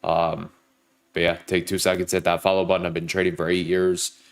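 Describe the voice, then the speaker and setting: A man's voice: low voice, completely flat delivery, fairly quick, smooth. A young man with a sore throat and a stuffed-up, nasal voice, chatting casually with viewers on a trading livestream. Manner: greets viewers by name, hypes likes. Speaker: livestream trader. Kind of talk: casual live-stream chat.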